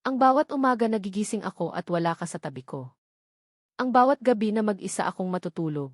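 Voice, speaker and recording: A woman's voice: medium pitch, lively intonation, fast, no room sound, close to an everyday voice. A wounded, forgiving husband in a romance audio story, voiced by a woman in her own mid-range voice. He speaks earnestly and with sorrow, in a very dry recording. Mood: hurt, sorrowful.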